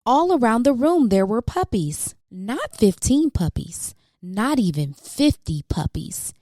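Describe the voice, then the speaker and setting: A woman narrating a children's storybook expressively, with measured, dramatic emphasis for young listeners. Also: fairly high pitch, very animated, dark tone, dry recording, clean studio sound. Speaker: children's book reader.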